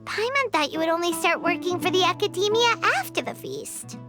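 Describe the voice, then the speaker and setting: A woman voicing a small, cheerful fairy companion with a squeaky childlike voice in a video game. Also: expressive, a little fast. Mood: cheerful, eager. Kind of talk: animated video game character acting. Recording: game music behind voice.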